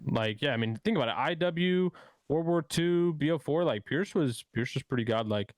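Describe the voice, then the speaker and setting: A man's voice: on the high side, expressive, moderate pace, no echo. A young man on an esports panel, answering in a laid-back way with lots of fillers. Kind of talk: casual esports chat.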